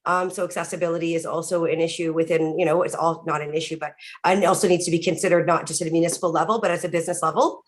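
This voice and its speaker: Talkative woman with a low, big voice. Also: fairly even intonation, racing, hardly any pauses. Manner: loud, talkative. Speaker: committee member. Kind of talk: casual meeting chat. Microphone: video call.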